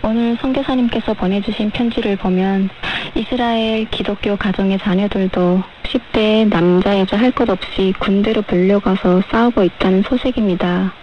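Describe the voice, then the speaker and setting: A woman's voice: mid-range voice, fairly even intonation, normal speed, few pauses. Woman on dull, noisy shortwave radio. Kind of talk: measured radio discussion.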